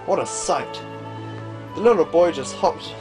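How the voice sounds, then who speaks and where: A man voicing a gentle, wistful older father from a fantasy game, telling stories in a fond, reflective way. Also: a little high, animated, measured pace. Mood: fond, nostalgic. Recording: game music behind.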